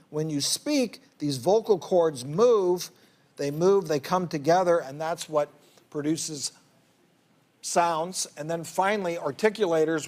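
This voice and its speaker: A man's voice: a little high, a little mellow. A male doctor on a TV talk show, explaining how the voice works step by step for a lay audience. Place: TV studio.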